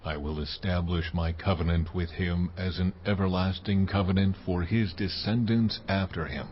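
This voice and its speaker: A man's voice: deep voice, steady tone, moderate pace, slight background noise, lightly acted. A man playing a solemn, majestic God in a dramatized scripture reading, speaking in a stately, declamatory way. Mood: solemn, promising. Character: almighty God, solemn, commanding, majestic male deity. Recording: dull lo-fi sound, music underneath.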